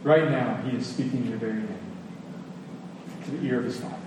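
Echoey male preacher, earnest and emphatic. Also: medium pitch. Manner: earnest, emphatic, dramatic pauses and repetition. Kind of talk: expository sermon with scripture reading. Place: large reverberant indoor hall. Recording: distant, echoey large hall, faint noise.

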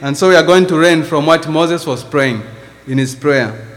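A man's voice: fairly high pitch, moderate pace, in a large hall. A man from Zambia with a Zambian accent, preaching a sermon in church and reading scripture earnestly. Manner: earnest, reads scripture with rising emphasis. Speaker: visiting preacher.

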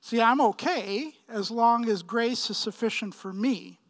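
A man in his fifties from Pakistan preaching a sermon, with emphatic, deliberate phrasing and frequent repetition. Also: extremely high pitch. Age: 50s. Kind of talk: sermon, expository preaching with personal anecdotes.

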